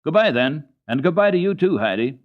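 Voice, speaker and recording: A man voicing an irritated, hurried aunt in a radio storybook reading. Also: fairly high pitch, very animated, pitched above the performer's own voice. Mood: hasty. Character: irritated, hurried young aunt snapping at villagers. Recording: dull lo-fi old radio recording.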